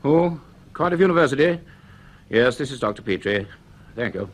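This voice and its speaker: An actor playing an earnest, uneasy university scientist in a radio drama, speaking in an educated, hesitant, measured way. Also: male voice, medium pitch, expressive, natural voice. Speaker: lead character and narrator. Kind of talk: radio drama dialogue and narration.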